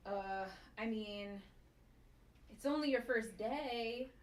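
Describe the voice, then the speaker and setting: Woman acting a slow-talking, teasing office woman. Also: a little high. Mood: reassuring, blunt. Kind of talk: sitcom table read. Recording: roomy, distant mic with background noise.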